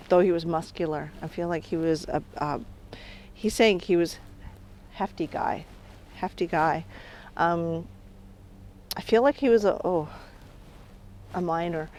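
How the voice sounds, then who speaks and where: A middle-aged woman working as a spirit medium, talking calmly outdoors on an island and often trailing off quietly. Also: fairly low pitch. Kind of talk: on-location reality show narration.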